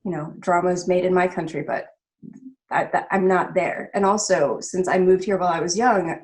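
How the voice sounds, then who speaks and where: A woman's voice: on the low side, fairly even intonation, moderate pace, darkish tone. A woman from the Philippines speaking as a panelist on a video call, thoughtful and hesitant, with frequent fillers. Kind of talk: casual panel discussion.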